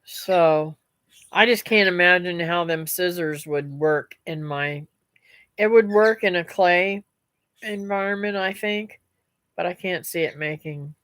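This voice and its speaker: A middle-aged woman rambles to her live-stream viewers, calling them "guys" and "y'all." She talks slowly in a lowish voice that sounds a little stuffy and nasal. The sound is close and dry. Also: a bit bright, no echo. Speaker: homestead vlogger reading live comments. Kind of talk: casual live-stream chat.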